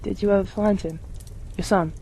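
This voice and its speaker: A woman playing a timid, earnest teenage boy in an amateur radio play, speaking politely and haltingly. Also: mid-range voice, steady tone, creaky, warm tone, husky. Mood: earnest, hesitant.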